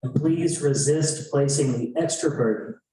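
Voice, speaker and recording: A man co-facilitating an event, reading prepared discussion guidelines in measured, short phrases. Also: medium pitch, warm tone. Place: indoor event room. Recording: very echoey room, distant mic.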